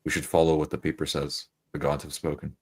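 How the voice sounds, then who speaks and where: A man playing an earnest, devout adventurer in a tabletop game, speaking politely and haltingly with a solemn air. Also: extremely low pitch, monotone. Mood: reverent. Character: earnest, devout male adventurer reading omens.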